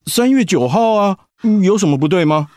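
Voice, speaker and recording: A man's voice: high voice, lively intonation, normal speed, darkish tone, no echo, studio quality. A man playing a doting, practical retired husband and grandfather with a Taiwanese Mandarin accent in a radio drama. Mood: puzzled, admiring.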